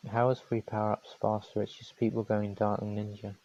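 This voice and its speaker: A man calmly commentating his own strategy game on a live stream, his voice going hoarse, from New Zealand. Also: fairly low pitch, a little fast, muffled recording. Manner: calm, matter-of-fact strategy talk.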